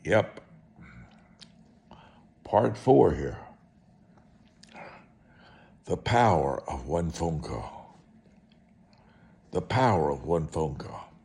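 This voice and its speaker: A male preacher with a very deep voice delivers a personal testimony. He speaks slowly and deliberately, in a folksy way, leaning on repeated phrases to drive each point home. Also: animated, creaky, dark tone. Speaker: preacher, evangelist.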